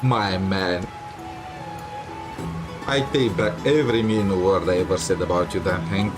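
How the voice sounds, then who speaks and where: A man with a low voice talks casually through a video game as he plays it. His remarks are wry and snarky, and game music plays clearly behind him. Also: measured pace. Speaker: gaming streamer playing a story game. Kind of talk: casual playthrough commentary.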